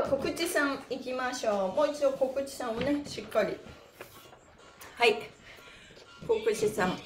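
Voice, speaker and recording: A middle-aged woman hosting a live exercise stream in animated, cutesy and polite instructor patter. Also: high voice. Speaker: pantomime performer and stretch class host. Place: small indoor room with bare walls. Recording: roomy echo from camera mic.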